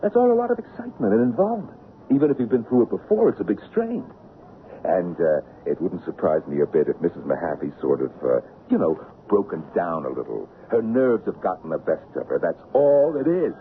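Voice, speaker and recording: An actor playing a persuasive, excitable Broadway producer in an old-time radio drama, with a theatrical, emphatic delivery. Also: male voice, low-pitched, a little fast. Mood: incredulous, placating. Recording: noisy, narrow-band old radio recording.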